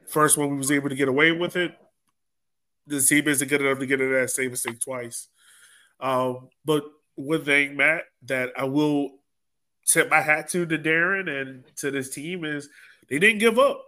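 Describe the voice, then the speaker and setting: A man's voice: on the high side, unhurried, bright, forceful tone. A man hosting a sports podcast, talking casually through soccer match analysis in a conversational way.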